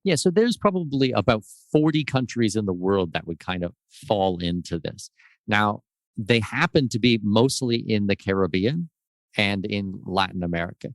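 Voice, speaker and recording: A man speaking as a guest expert on a call, explaining residency and tax step by step in a measured, casual way. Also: lowish voice, animated, dry recording. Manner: explanatory, measured, gives lists of examples. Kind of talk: casual interview answers.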